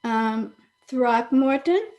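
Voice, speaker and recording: A woman's voice: fairly high pitch, expressive, drawn-out words, background noise, exaggerated voice. A woman playing a wry, proud sweetheart in an old-time radio comedy, with a dry, pointed delivery. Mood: pointed, suspicious. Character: wry, proud woman being jilted, quietly cutting.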